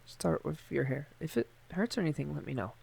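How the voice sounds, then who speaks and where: A man playing a shy, tender, awkward young man, a friend's older brother, in an intimate ASMR roleplay, speaking softly and hesitantly with a stammer. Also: on the high side, expressive, fairly quick, creaky, breathy edge, full character voice. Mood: gentle, awkward. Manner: hesitant, stammering, gently teasing.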